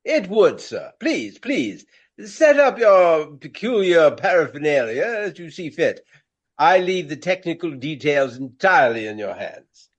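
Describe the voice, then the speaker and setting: A man playing a pompous, vain Regency gentleman actor, speaking in florid, courtly phrases in a radio play. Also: a little high, very animated, measured pace. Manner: florid, formal, grandiloquent.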